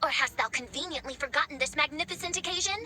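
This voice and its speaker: A woman's voice: high-pitched, quick pace, natural voice. A woman voicing a pompous, dramatic teenage girl who makes grandiose archaic pronouncements in an anime game.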